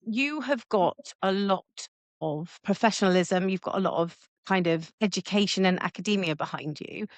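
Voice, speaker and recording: A woman's voice: mid-range voice, moderate pace, no room sound. A woman hosting a podcast, reading an intro and asking her guest questions in an earnest way. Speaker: podcast host.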